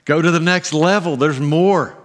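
Man, middle-aged, pastor, sermon, higher voice, animated emphasis, headset mic. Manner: animated preaching with pauses and emphatic rises. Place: church stage, indoors.

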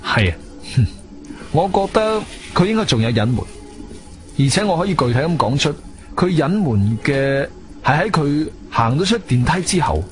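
A man's voice: a little high, big pitch swings, moderate pace. An actor with a Guangzhou Cantonese accent playing an inquisitive, self-assured investigator who tells his own story in a theatrical radio drama style. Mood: insistent. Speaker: narrator and lead actor. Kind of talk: Cantonese radio drama, first-person narration and dialogue. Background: music.

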